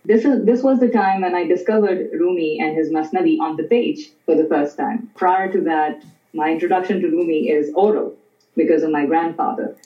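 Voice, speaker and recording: Guest scholar, Urdu, measured, dull lo-fi audio. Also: medium pitch. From Pakistan. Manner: measured, explanatory. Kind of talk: conversational interview. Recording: distant, roomy, dull remote audio.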